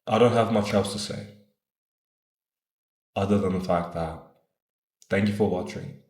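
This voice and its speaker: A man's voice: low-pitched, fairly even intonation, measured pace, a little mellow, echoey room. A man in his twenties talking casually and earnestly to camera, rambling a bit, asking "right?" and swearing now and then. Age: 20s. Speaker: vlogger. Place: room in Bogota.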